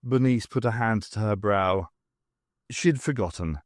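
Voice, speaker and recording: A man reading scripted fiction aloud in an expressive, dramatic storytelling manner. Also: fairly low pitch, a little slow, no echo, studio quality. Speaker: audiobook narrator. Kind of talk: scripted fiction narration with character voices.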